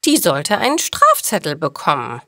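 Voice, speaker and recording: A woman's voice: low voice, huge pitch swings, moderate pace, pushed and bright, dry recording, clean studio sound, full character voice. A woman voicing a fussy older female neighbour in a story, indignant, scolding and self-righteous. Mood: urgent complaining.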